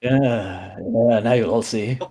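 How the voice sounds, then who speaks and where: A man's voice: medium pitch, takes it slowly, background noise, natural voice. A man voicing a theatrical, defiant rebel who rambles through a monologue in a tabletop game. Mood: defiant, taunting.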